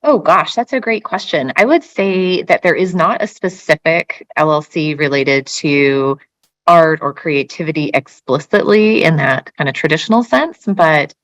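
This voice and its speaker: A woman with a notably low voice answers a question with enthusiasm, thinking aloud and pausing on fillers. The sound is close and dry, with no room echo. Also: fairly bright tone. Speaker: housing staff.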